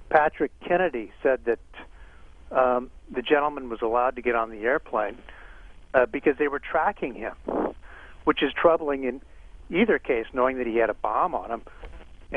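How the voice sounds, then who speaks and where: Male phone caller, medium pitch, deliberate commentary, dry narrowband line. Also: no room sound. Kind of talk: call-in commentary.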